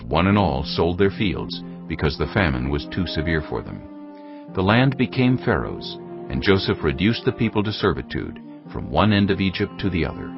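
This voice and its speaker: Deep creaky guy narrating the bible, lively intonation, soft music behind, bit muffled. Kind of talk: dramatized scripture narration. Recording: dull lo-fi audio. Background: faint music.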